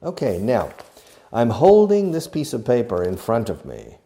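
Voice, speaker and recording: A man teaching a beginner English lesson, explaining slowly and acting out each phrase, with short glosses in another language. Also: mid-range voice, huge pitch swings, dark tone. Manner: slow, deliberate, demonstrative. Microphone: video recording.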